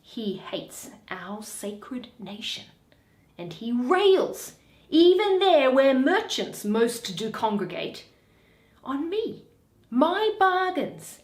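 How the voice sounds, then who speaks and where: Woman playing a shrewd, bitter moneylender, slow and deliberate. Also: high-pitched, darkish tone, heavily acted. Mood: bitter, hateful. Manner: deliberate, drawn-out, weighing each phrase. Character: shrewd, bitter male moneylender, brooding and grudging. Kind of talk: dramatic Shakespeare reading. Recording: roomy echo.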